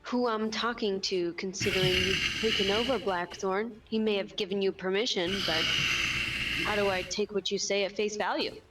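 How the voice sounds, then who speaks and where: A woman's voice: medium pitch, steady tone, normal speed, close to an everyday voice. A woman playing a young, sceptical adventurer in a tabletop roleplay, speaking in a questioning, challenging way. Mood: probing, wary. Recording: distant mic under loud music.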